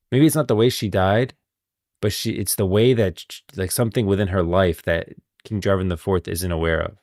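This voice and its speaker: A male streamer with a very low, creaky voice talks casually about game lore. He muses and thinks aloud as he goes. The recording is close and dry. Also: darkish tone, no room sound. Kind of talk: casual live stream commentary.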